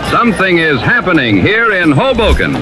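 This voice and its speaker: Brief: 1940s radio ball-game announcer, lively swings, loud crowd and street noise. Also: male voice, high-pitched, moderate pace. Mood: excited. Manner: animated play-by-play. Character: excited 1940s radio baseball announcer man.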